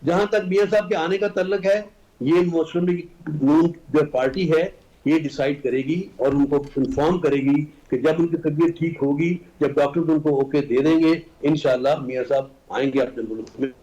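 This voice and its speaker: Pakistani politician with a highish male voice. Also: moderate pace, mellow, rough recording. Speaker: senator and party guest. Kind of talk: political panel debate.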